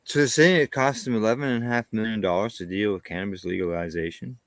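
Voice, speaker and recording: A man's voice: fairly low pitch, fast, no echo. A man from Canada co-hosting a news show, reading stories steadily and chatting casually. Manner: plain, steady reading with short agreeing asides. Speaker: co-host reading cannabis news.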